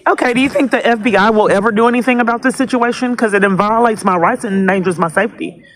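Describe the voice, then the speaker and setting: A woman on a call for help, her voice pitched fairly high. She is insistent and repetitive, pressing her point and often cutting across whoever she is talking to. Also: fast, slight background noise, low-quality recording. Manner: insistent, repetitive, talks over the other person. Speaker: caller to police dispatch. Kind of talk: phone call pleading for help.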